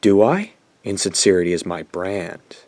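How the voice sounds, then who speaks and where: Man playing a low-voiced, smug, dramatic teen boy. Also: expressive, moderate pace, darkish tone, dry recording. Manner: pompous, dramatic. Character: smug, self-absorbed teenage boy narrating his confession. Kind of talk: audio drama acting, first-person narration.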